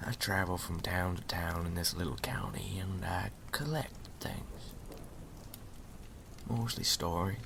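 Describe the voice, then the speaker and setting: Folksy old-frontier story collector, played by a man: deep, heavily creaky, half-whispered and hospitable, for ASMR roleplay. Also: measured pace, background noise. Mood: wry, easygoing. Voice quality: gravelly, breathy half-whisper.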